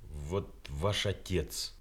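A man's voice: deep voice, drawn-out words, fairly bright tone, soft background music, heavily acted. A man playing an earnest, troubled, experienced war correspondent in a Soviet radio play. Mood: quiet, inquiring.

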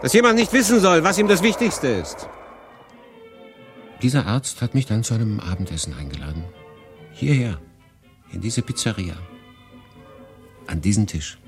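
A man acting a guarded, quietly jealous refugee who recounts his exile in a German radio drama. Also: mid-range voice, big pitch swings, normal speed, long pauses, over music. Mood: challenging.

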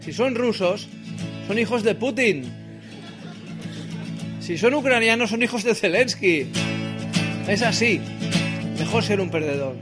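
A man's voice: high voice, animated, unhurried, long pauses. A middle-aged man with an accent from Spain does comic stage banter between songs, rambling and self-deprecating, often checking in with his listeners. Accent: Spain Spanish. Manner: rambling, self-deprecating, asks the audience tag questions. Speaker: singer-songwriter and comedian performing live. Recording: live performance with acoustic guitar. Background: acoustic guitar.

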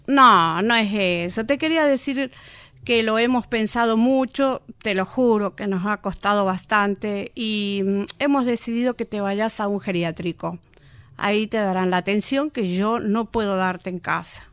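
A woman's voice: medium pitch, normal speed, a bit bright, no echo, natural voice. A woman playing a busy, determined mother and daughter in a radio drama, with an Argentine accent. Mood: hesitant, resolute. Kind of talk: amateur student radio drama acting.

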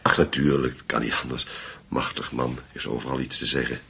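An actor playing a courteous, hesitant investigator in a radio play, with a gravelly, husky voice. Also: male voice, rumbling low, little pitch movement, a little fast, pushed and bright, slight background noise, exaggerated voice. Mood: thoughtful. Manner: hesitant, polite. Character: courteous, hesitant male investigator staying at a hotel.

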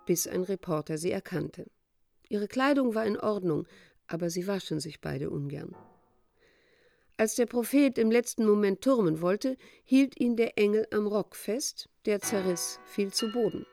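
An actress playing a matter-of-fact radio play narrator, delivering scripted lines in a measured way. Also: female voice, mid-range voice, animated, darkish tone, no room sound, faint music behind, natural voice. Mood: dry. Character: matter-of-fact female narrator reporting absurd events.

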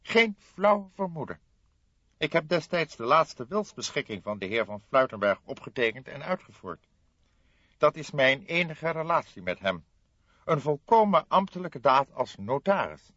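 A man's voice: fairly high pitch, very animated, unhurried, fairly bright tone, slightly rough, no room sound, dull audio, pitched above the performer's own voice, exaggerated voice. A man voicing a short, round-faced notary in an audiobook, stiffly formal, self-important and guarded. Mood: defensive. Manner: stiffly formal, officious, hesitant when pressed. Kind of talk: audiobook character acting.

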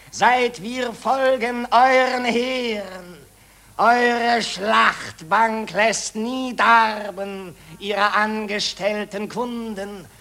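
A gloating carrion raven in a radio play. The voice is high and piercingly bright, stretching each rhymed line very slowly with smug, declamatory relish. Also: male voice, heavily acted. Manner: drawn-out, declamatory. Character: carrion ravens, smugly gloating war profiteers. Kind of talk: rhymed verse radio drama.

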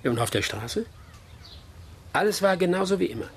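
An actor playing a dry, tough investigator in a German radio drama, terse and probing. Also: male voice, high-pitched, sing-song, moderate pace, a little hiss or hum. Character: dry, tough, unflappable investigator questioning witnesses.

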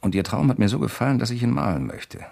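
An actor playing a persistent, slyly courteous investigator in a German radio crime drama, questioning insistently and deliberately. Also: male voice, medium pitch, very animated, gravelly, darkish tone, full character voice. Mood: probing, feigned innocence. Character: persistent amateur investigator, male, probing with sly courtesy.

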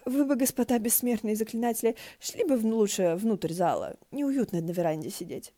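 Женщина озвучивает боязливого, суетливого трактирщика, который говорит заискивающе и тревожно. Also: female voice, fairly high pitch, lively intonation, moderate pace, dark tone, pitched above the performer's own voice. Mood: dismayed. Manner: deferential, anxious. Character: fussy, timid, apologetic male innkeeper.

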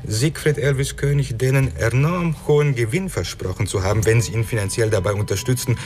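A man's voice: mid-range voice, fairly quick, a little mellow, lightly acted. An actor playing a polite, formal middleman for shady creditors in a German radio crime drama, talking in roundabout phrases with hesitant asides. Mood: courteous, musing. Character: suave, courteous male go-between for shady creditors.

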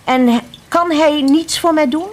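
A woman's voice: high-pitched, measured pace. An actress playing a weary, grieving poor mother, simple and questioning, in a radio drama. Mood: hopeful. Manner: plain, doubting, plaintive questioning. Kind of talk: theatrical radio drama acting.

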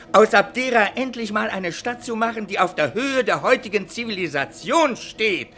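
An actor playing an earnest, pompous politician declaiming insistently in a satirical radio play. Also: male voice, sits high, sharp tone. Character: earnest, pompous political orator founding a party.

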